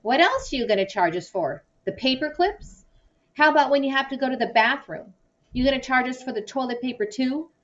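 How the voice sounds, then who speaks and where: A woman's voice: high-pitched, lively intonation, a little fast, distant mic, highs cut off, pitched above the performer's own voice. A woman voicing an indignant, protective man with a blunt, sarcastic manner in a children's novel read-aloud. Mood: outraged.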